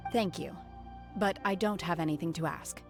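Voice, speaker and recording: Reserved young female outsider for a game, played by a woman: lowish, solemn, polite, understated. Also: normal speed, over music, lightly acted. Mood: reserved, pensive. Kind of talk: video game voice acting.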